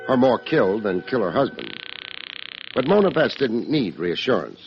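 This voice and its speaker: An actor playing a world-weary, sardonic private detective in an old-time radio drama, delivering wry, deadpan wisecracks and narration. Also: male voice, fairly low pitch, moderate pace. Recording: dull old radio recording, music behind.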